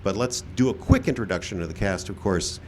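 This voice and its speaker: A man's voice: on the low side, a little fast, darkish tone, slight background noise. A man hosting a live radio show outdoors, speaking like a showman with informal asides. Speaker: host and announcer. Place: outdoor park stage.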